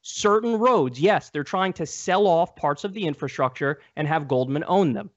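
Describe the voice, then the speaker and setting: A man's voice: sits high, lo-fi sound. A man in a heated political debate, combative and emphatic, hammering his points through repetition. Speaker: debate guest.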